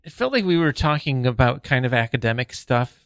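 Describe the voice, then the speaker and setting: A man's voice: mid-range voice, very animated, a little fast, clear, resonant tone, no echo, studio quality. A man talking casually as an improv teacher, hedging his opinions in a tentative way. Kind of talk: casual discussion.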